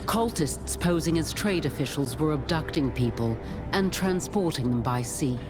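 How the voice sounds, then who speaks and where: Grim traveling heroine for a fantasy game; formal, deep register, music behind. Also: female voice, lively intonation, moderate pace. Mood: grave. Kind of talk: dramatic video game voice acting. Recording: music underneath the voice.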